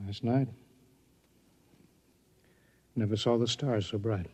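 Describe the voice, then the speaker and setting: A man's voice: on the low side, slow pace, mellow, breathy edge. An actor playing a weary, terse man in command of a test project in a 1950s radio drama. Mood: grim, resigned. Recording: 1950s radio broadcast recording.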